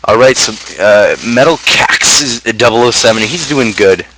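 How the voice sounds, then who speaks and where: A man's voice: medium pitch, normal speed, noisy background. A man with a North American accent hosting a casual livestream talk show, rambling in blunt, slangy, crude language. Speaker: host of a gaming channel's talk show. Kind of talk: casual livestream chat, book review.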